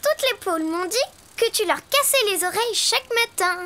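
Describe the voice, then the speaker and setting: A woman's voice: extremely high pitch, animated, brisk, sharp tone, full character voice. A woman voicing a playful, teasing little girl in a preschool cartoon, with a sing-song, exclamatory delivery.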